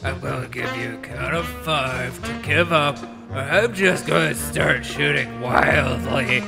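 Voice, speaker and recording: A man playing a grumpy, blustering armed robber in an audio drama, gravelly and irritable. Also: mid-range voice, unhurried, over music. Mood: angry threats. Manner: muttering, irritable.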